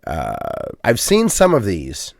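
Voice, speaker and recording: A man co-hosts a podcast in loose, casual chat. His pitch swings in a lively way as he rambles, filling gaps with ums and uhs. The sound is dry and close. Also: slow, gravelly, dry recording. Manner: self-deprecating, chatty. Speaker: podcast co-host.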